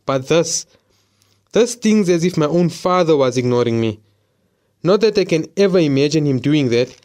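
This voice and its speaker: A man's voice: a little high, animated, moderate pace, a little mellow, close to an everyday voice. A young man playing a remorseful madrasa student who speaks sheepishly and apologetically. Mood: hurt. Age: young adult. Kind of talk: audio drama acting.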